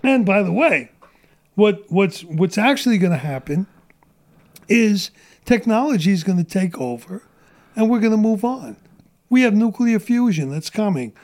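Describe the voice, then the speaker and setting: A middle-aged man with a high voice rants in a casual podcast chat, blunt and profane. His voice is wearing out and getting strained. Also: lively intonation, moderate pace, darkish tone. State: losing his voice. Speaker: guest.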